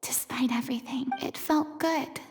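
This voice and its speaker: An actress playing a flustered, theatrical young former stage star in a video game. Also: female voice, sits high, moderate pace, a little mellow, whispered. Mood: wistful, reflective. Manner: dramatic, hesitant when flustered.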